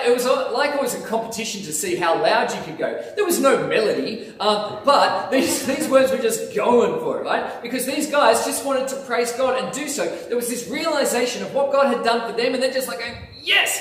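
A man delivers a sermon, animated and emphatic. His voice sits fairly high for a man and booms around a large, reverberant church hall, picked up from some distance. A faint ambient hum hangs behind him. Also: moderate pace, few pauses. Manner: animated, gesturing preacher with lively emphasis. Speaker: pastor. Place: church sanctuary, in front of altar. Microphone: lapel mic, filmed by far camera. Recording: echoey church hall from far off.

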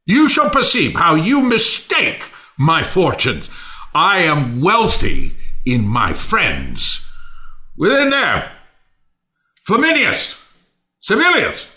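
A man's voice: high-pitched, wildly swooping pitch, slow pace, long pauses, heavily acted. Man acting Timon, a theatrical, wildly swinging lord. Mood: impassioned. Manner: theatrical, swelling declamation with sudden hushed drops. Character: Timon, generous Athenian lord, proud and naively trusting of friends. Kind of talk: unrehearsed Shakespeare audio play reading.

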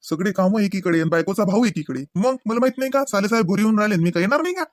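Performer as sarcastic, grumbling cartoon husband. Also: male voice, high-pitched, animated, racing, no echo, studio quality. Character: grumbling, teasing middle-class husband. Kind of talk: comedy cartoon voice acting.

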